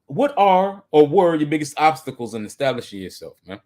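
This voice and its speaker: A man's voice: on the high side, very animated, brisk, mellow. An American man around thirty hosting a casual podcast, talking in an emphatic, slangy, conversational way. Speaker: podcast host and musician.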